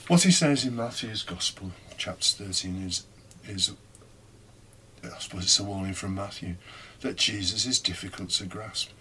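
A man giving a reflective homily, speaking deliberately with pauses and rhetorical questions. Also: low-pitched, bright, forceful tone, a little hiss or hum. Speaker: preacher giving a scripture reflection.

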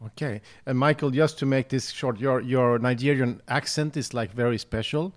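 A male host with a mid-range voice interviewing guests. He stumbles and restarts his questions often, keeping a loose conversational feel. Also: mid-range voice. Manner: halting, many restarts. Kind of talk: casual interview.

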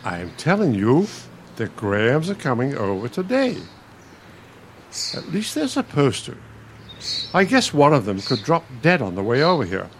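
A man's voice: medium pitch, wildly swooping pitch, normal speed, pauses often, a little hiss or hum. A man playing an irritable elderly bookish husband in a radio play, testy, condescending and sardonic. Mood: insistent. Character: irritable elderly bookish husband, pompous retired college dean.

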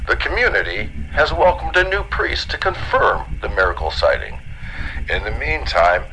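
An actor playing an earnest 1950s television newsreader, announcing in period broadcast style over a noisy old-style transmission. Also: male voice, fairly low pitch, very animated, moderate pace, gravelly, pushed and bright, exaggerated voice. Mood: earnest, solemn.

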